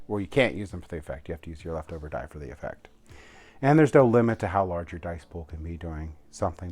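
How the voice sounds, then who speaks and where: Male reviewer, low-pitched, casual and unscripted, halting delivery with frequent self-corrections. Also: a little mellow. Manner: halting, often correcting himself mid-sentence. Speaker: tabletop game reviewer. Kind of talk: casual unscripted product walkthrough.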